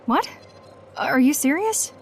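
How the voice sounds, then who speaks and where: A woman's voice: high voice, animated, slow pace, slight background noise, heavily acted. A woman playing an earnest, loyal, reserved leader in a video game, speaking in short, sincere phrases. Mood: taken aback.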